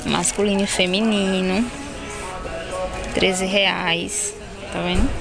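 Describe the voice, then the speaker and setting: A woman's voice: mid-range voice, fairly even intonation, creaky, over loud music. A woman from northeastern Brazil with a Pernambuco accent, filming a walkthrough of her baby clothing shop on a phone and listing prices in a chatty way. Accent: Pernambuco Portuguese. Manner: chatty, lists prices quickly, addresses viewers as "gente". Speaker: wholesale shop owner. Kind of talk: casual product walkthrough. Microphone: phone camera held while filming.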